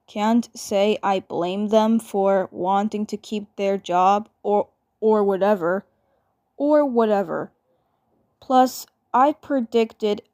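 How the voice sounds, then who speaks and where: A woman voicing a skeptical, worried twin boy from a cartoon fan dub, halting and repeating words. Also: mid-range voice, darkish tone, close to an everyday voice. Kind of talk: amateur fan dub acting.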